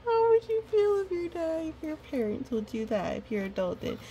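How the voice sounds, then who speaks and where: A woman's voice: extremely high pitch, big pitch swings, moderate pace, warm tone, dull audio. A woman hosting a chatty picture-book storytime, with playful, rambling asides. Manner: playful, rambling asides with little sung bits and hums. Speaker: storytime reader and host.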